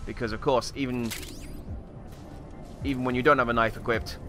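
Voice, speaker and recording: A man's voice: mid-range voice, steady tone, normal speed, pushed and bright, soft background music, natural voice. A man voicing a bumbling, boastful Australian survival expert with a wandering accent, rambling through mock lessons as game commentary. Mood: deadpan mock-expert. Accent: put-on wandering Australian. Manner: hesitant, rambling, mock-instructional. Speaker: gameplay commentator. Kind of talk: improvised in-character comedic game commentary.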